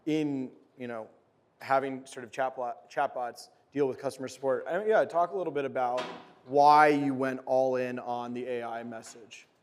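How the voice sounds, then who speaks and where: A man of middling pitch interviews guests on stage as a panel moderator. His questions come out informal and loosely phrased, with stops and restarts along the way. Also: smooth. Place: stage at a conference.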